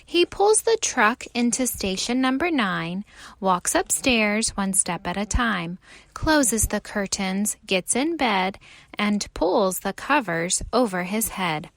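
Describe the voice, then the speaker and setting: A woman reading a story aloud for young children in an expressive, theatrical way. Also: mid-range voice, slow pace, vocal fry, no room sound. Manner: animated, theatrical delivery.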